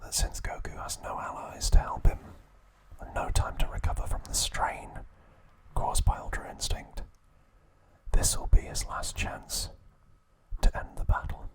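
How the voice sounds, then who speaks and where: A man narrating ASMR in a hushed, deliberate whisper, breaking his sentences into short phrases. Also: poor audio. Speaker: ASMR host.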